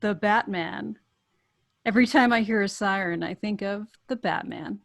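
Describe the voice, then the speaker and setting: A woman's voice: medium pitch, moderate pace, creaky, close to an everyday voice. A woman reading the part of a bold, determined, flirtatious woman in love at a script table read. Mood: smitten. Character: bold, determined woman romancing a wealthy bachelor.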